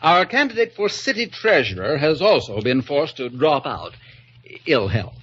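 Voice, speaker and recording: An oily, pompous party boss in an old radio comedy. He speaks in a slightly high, raspy voice full of unctuous bluster. The recording is muffled and vintage. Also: sing-song, normal speed, a bit bright, full character voice. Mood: pompous, smooth-talking. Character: oily, blustering male party-machine politician.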